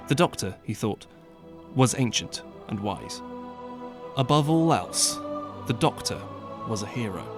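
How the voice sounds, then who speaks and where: Male narrator, measured, wry, medium pitch, faint music bed. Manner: measured, lightly wry storytelling. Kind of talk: audiobook narration.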